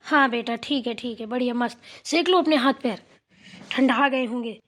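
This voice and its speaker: A woman acts out a village matriarch in a family cartoon, an older mother-in-law with a high voice. She is chatty and bossy, rambling on homely matters as she gives instructions. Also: moderate pace, fairly bright tone. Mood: chatty, content. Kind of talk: amateur Hindi family cartoon dialogue.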